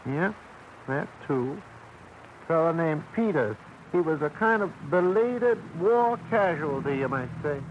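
An actor playing a folksy, gossipy old American idler with a creaky voice and rambling, unhurried talk, in 1940s radio style. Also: male voice, on the high side, expressive. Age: older. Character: slow-talking old small-town male idler, gossipy, folksy. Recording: dull, noisy old radio recording.

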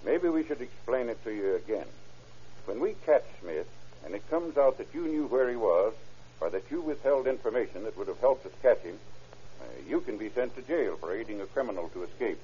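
An actor playing a stern, slangy, hard-nosed deputy in an old radio crime drama. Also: male voice, mid-range voice, animated, fairly quick, warm tone, natural voice. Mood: stern, pressing. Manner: blunt, slangy, authoritative. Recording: noisy, muffled old radio recording.

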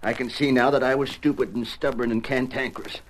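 A man's voice: medium pitch, fairly even intonation, fairly quick, close to an everyday voice. Male actor as heartfelt American Western cattle rancher, old-time radio delivery, light hiss. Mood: humbled. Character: hot-tempered, stubborn rancher and loving father. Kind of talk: 1940s-50s Western radio acting. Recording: noisy vintage radio recording.

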